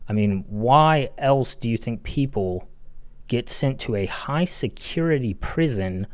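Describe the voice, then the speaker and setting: A man's voice: mid-range voice, expressive, measured pace, darkish tone, no room sound. A man giving a casual, blunt and sardonic video essay critique as a game reviewer, with some swearing.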